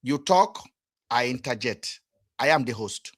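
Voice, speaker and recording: A man's voice: mid-range voice, expressive, measured pace, fairly bright tone, slightly rough. A Nigerian man with a Nigerian accent hosting a live call-in show, firm and repeatedly interjecting. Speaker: host.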